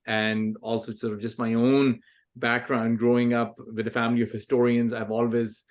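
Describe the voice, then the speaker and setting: A man's voice: mid-range voice, level tone, moderate pace. A man in his forties from Pakistan, a guest author answering interview questions thoughtfully, with frequent ums and restarts. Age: 40s. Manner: thoughtful, many fillers and restarts.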